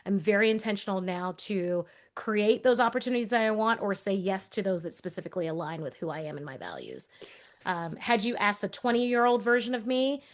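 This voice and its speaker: A woman's voice: mid-range voice, sing-song, fairly quick. A woman in her forties, raised in Texas, speaks in a neutral accent with no regional drawl. She co-hosts a podcast and answers questions in a reflective, conversational way. Her delivery is earnest and thoughtful, with small restarts as she gathers her thoughts. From Texas, USA. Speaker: podcast co-host.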